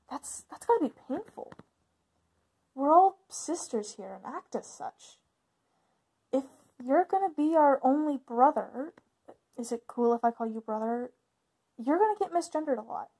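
A woman playing a high-voiced, caring big sister. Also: a little mellow. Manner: hesitant, informal, reassuring. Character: caring, casual big sister, a young witch in a coven. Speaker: voice actor in ASMR roleplay. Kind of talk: ASMR roleplay, conversational acting.

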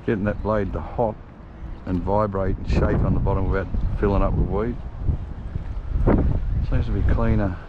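A man's voice: deep voice, steady tone, moderate pace, long pauses. A middle-aged Australian man talking casually to himself while fishing from a windy shoreline. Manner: chatty, muttering to himself. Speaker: fishing vlogger. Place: outdoors on a windy harbour shore. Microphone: body-worn action camera. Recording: wind-buffeted, slightly dull camera mic.

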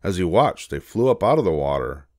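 An older man reading a children's storybook aloud as narrator, in a measured, expressive way. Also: deep voice, no room sound, clean studio sound.

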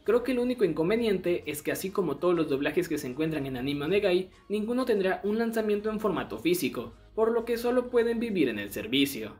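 A man's voice: sits high, very animated, brisk, hardly any pauses, darkish tone. A man narrating a scripted explainer in a conversational, explanatory way. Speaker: video essay narrator. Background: background music.

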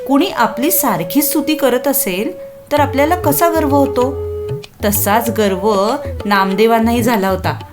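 A woman's voice: a little high, measured pace, music in the background. A woman narrating a scripted children's story expressively, addressing young listeners with questions.

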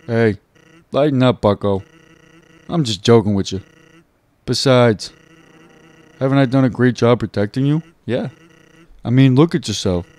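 A man playing a video game on a let's play, reading a character's dialogue aloud in a relaxed, jokey way. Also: medium pitch, measured pace, long pauses, dry recording. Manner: laid-back, jokey, reads lines aloud. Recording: faint game music behind.

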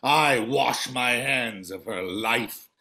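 A merciless tyrant king of Greek tragedy. He is stern and forceful, issuing commands slowly and deliberately. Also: mid-range voice. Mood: cold, commanding. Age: middle-aged. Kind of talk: dramatic play reading over video call. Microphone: computer mic on a video call.

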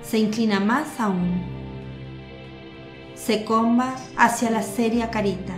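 A woman giving measured, scripted narration for a radio drama. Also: a little high. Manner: measured, descriptive. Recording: echoey with music bed.

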